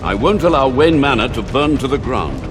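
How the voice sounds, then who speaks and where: Man acting haughty cultured gentleman, animated. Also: medium pitch, brisk, lightly acted. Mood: relieved, courteous. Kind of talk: video game voice acting. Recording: loud music behind the voice.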